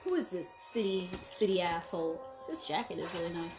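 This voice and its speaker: A woman's voice: lowish voice, brisk, low-quality recording. A woman chatting casually and teasing her partner while they watch a film, cursing freely and arguing points with energy. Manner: animated, teasing, swears freely. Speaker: movie reaction co-watcher. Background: film soundtrack music.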